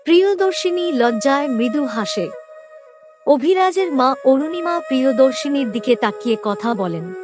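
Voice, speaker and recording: A woman's voice: high-pitched, expressive, normal speed. A woman narrator reading a scripted family story. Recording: music under the voice.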